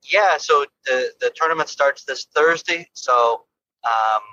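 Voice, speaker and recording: An Irish man speaks in a bright, forceful voice from inside a car, easygoing and quick to laugh. The line sounds dull and muffled, with a faint hiss behind him. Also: mid-range voice. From Ireland. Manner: easygoing, laughs often, repeats words. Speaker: golf coach guest. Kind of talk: casual interview chat from a car.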